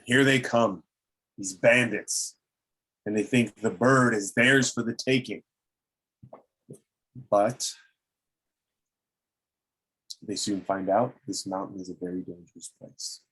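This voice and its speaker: A man playing a menacing, obsessive old explorer in a table read, theatrical and deliberate, with a husky voice. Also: fairly low pitch, a bit bright, poor audio. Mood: suspicious.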